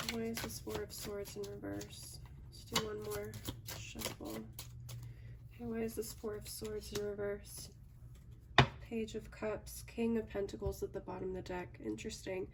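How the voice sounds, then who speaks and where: A woman doing a casual tarot reading, her voice a little raspy from a recent cold, often pausing with "okay" and "um". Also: medium pitch, little pitch movement, recorded from a distance, heavy background noise. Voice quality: slightly raspy. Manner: thinking aloud with frequent "okay" and "um". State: hoarse from a cold. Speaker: tarot reader.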